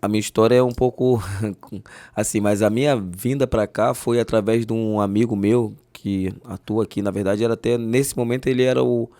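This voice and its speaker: A man in his forties from Rio de Janeiro with a softened carioca accent, a jiu-jitsu master telling his story as a podcast guest. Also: on the low side, fairly even intonation, no room sound. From Rio de Janeiro, Brazil. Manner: quick, warm storytelling. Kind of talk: interview storytelling.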